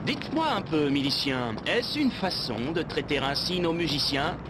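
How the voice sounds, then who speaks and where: An actor voicing a gallant, ironic cartoon swashbuckler in a French dub, with a theatrical, courtly manner. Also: male voice, a little high, very animated, moderate pace. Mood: confident, ironic. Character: gallant, ironic, self-assured swashbuckling hero. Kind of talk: French animated series dub acting. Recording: loud music under the voice.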